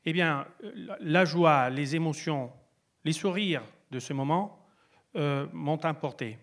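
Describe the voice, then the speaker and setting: A man from Italy, giving a prepared stage talk in French, pausing often on fillers. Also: on the high side. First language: Italian. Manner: hesitant, many filler pauses. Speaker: pitch presenter.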